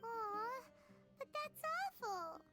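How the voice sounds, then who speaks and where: A woman voicing a tiny, excitable female fairy companion in a video game, childlike, curious and expressive. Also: very high-pitched, slow, loud music behind the voice, exaggerated voice. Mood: sympathetic. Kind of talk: video game story dialogue.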